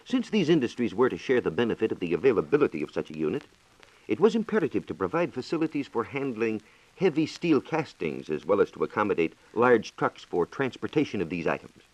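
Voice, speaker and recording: A man's voice: on the low side, big pitch swings. An American man explaining science formally as a reporter on a scripted 1940s radio program, in a dated lo-fi recording. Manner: formal, explanatory, measured diction.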